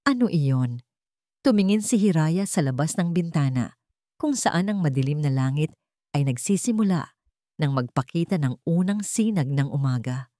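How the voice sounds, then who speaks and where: A Filipino woman tells a scripted drama story. Her voice sits low for a woman, and the recording is dry and close, with no room around it. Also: lively intonation, moderate pace, warm tone, no echo.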